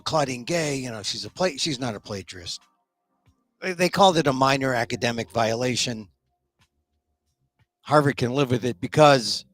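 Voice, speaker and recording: A man's voice: mid-range voice, fairly bright tone, no echo. A middle-aged man hosting a solo political commentary show, opinionated and a little sniffly, often repeating words as he starts a thought. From United States. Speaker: talk show host.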